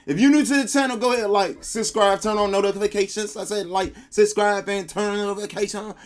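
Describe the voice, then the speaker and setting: A man's voice: high-pitched, steady tone, fairly quick. A man hosting a reaction video at home, chatting casually with playful slang.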